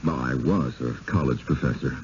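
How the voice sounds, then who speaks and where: An actor playing a reserved, skeptical professor in a 1970s radio drama, courteous but wary and hesitant. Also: male voice, a bass voice, steady tone, normal speed, breathy edge, exaggerated voice. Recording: dull, noisy old radio broadcast.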